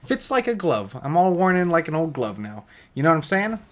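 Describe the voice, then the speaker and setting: A man with a high-pitched American voice tells a storytime tale to his viewers. He rambles casually, joking and teasing as he goes. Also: very animated, brisk. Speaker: YouTube vlogger telling a story.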